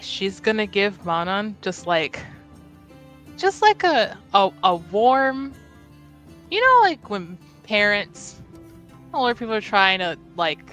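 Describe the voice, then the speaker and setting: A woman's voice: mid-range voice, sing-song, takes it slowly, a bit bright, faint music behind. A woman narrating her character in a tabletop roleplaying game, hesitant and thinking aloud with many fillers. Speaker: player.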